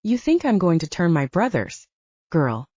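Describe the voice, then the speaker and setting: A woman's voice: on the low side, big pitch swings, a little slow, a little mellow, husky, dry recording, muffled recording, heavily acted. A woman voicing a brash, teasing male werewolf pack leader with a sarcastic, needling manner, in an audiobook. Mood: frank, teasing.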